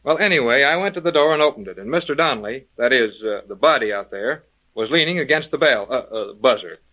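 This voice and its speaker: An actor playing a feisty, skeptical private detective's assistant in a 1930s radio mystery, with blunt, testy, slangy delivery. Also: male voice, medium pitch, animated, quick pace, bright, forceful tone, rough recording. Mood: flustered.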